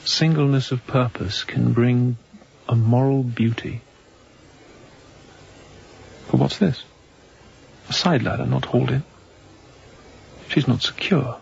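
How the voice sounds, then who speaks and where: Young sea captain, slightly creaky voice. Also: male voice, medium pitch, dull audio, exaggerated voice. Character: young, inexperienced sea captain, introspective and kindly. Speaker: actor in audio drama. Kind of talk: radio drama acting with reflective monologue.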